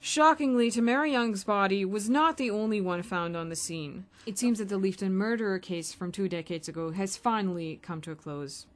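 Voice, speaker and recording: A woman's voice: medium pitch, moderate pace, few pauses, lightly acted. A grown woman in a radio play, acted by a woman, with a mid-range voice. Her scripted delivery is that of an everyday, responsible adult. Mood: solemn. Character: female mother and solemn news reader.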